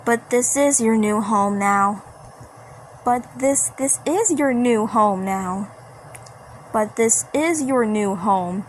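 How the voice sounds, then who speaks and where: Woman playing sweetly sinister candy creature, slow-spoken. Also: a little high, low-quality recording. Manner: sweet, disturbing, repeating. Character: sweetly menacing candy creatures luring a child to stay. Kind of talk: horror cartoon fan-dub acting. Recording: faint background noise.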